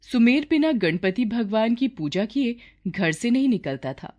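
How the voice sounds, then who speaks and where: Animated woman narrating a tale. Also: fairly high pitch, a little slow, highs cut off, slight background noise. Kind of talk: scripted moral story narration in Hindi.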